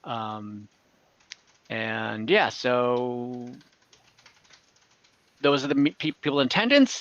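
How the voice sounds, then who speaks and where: A man acting as game master in an online game, narrating off the cuff in a halting, conversational way. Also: medium pitch, fairly bright tone, no room sound, lo-fi sound. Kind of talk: improvised narration and table talk in an online tabletop game.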